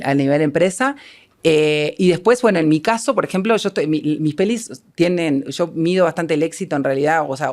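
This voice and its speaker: A woman with a Uruguayan accent who works as a film producer, answering as a panel guest in a halting, self-deprecating way as she thinks aloud. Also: low-pitched, darkish tone.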